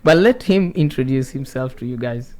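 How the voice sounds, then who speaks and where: A man's voice: medium pitch, lively intonation, moderate pace, darkish tone. A man with a Kenyan accent hosting a casual radio interview in a chatty way, mixing in Swahili phrases. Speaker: radio show host.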